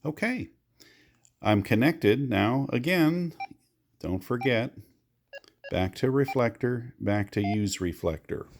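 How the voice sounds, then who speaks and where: A man's voice: medium pitch, lively intonation, slow, creaky, long pauses, a little hiss or hum. An American man giving a casual how-to walkthrough of amateur radio gear, explaining with repeated reminders.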